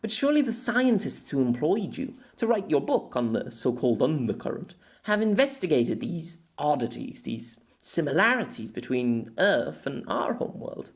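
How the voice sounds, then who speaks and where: Man putting on a high-pitched grandiose young heroine. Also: very animated, normal speed, hardly any pauses. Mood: earnest, insistent. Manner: grandiose archaic phrasing, playful. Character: curious, teasing young heroine from another world, haughty and old-fashioned.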